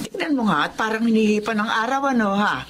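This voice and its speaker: A woman's voice: a little high, expressive, quick pace, a bit bright. An actress with a Filipino accent playing a kindly, doting older grandmother in a radio drama. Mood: sympathetic. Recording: faintly noisy recording with ambience.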